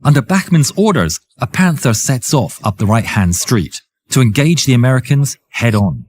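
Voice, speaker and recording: A man reading dramatic scripted war history narration in a theatrical, suspenseful way, with a husky, raspy voice. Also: mid-range voice, lively intonation, normal speed, darkish tone.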